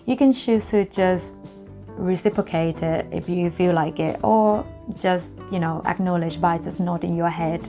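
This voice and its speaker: A woman's voice: on the low side, mellow. A young woman from Thailand, chatting casually to camera as a vlogger and explaining things with lots of examples.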